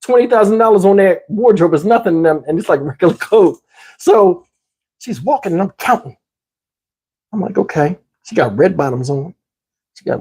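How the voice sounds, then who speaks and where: A man's voice: fairly high pitch, mellow, breathy edge. A man telling a personal story in a casual, comedic, slangy way, animatedly acting out the dialogue. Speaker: storyteller, channel host.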